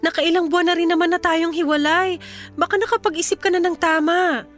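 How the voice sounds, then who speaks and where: High-voiced, clingy young mother. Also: fairly even intonation, a little fast, soft background music. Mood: insistent, pleading. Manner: insistent, pleading. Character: clingy, pleading young mother longing for her ex. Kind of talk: radio drama acting.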